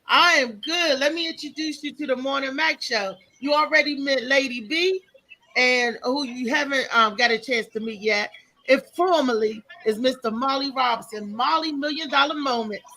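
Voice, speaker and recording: A woman's voice: high voice, moderate pace, sharp tone, rough recording. An American woman hosting a live morning show in casual, chatty talk, often repeating her words. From Maryland, United States.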